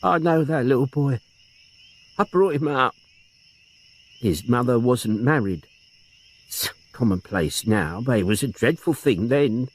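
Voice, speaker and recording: A woman's voice: extremely low pitch, animated, unhurried, pauses often, slight background noise. A man voicing a grieving elderly woman in her seventies, tremulous and protective of her nephew. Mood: pleading. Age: 70s. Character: grieving elderly aunt, frail and tearful.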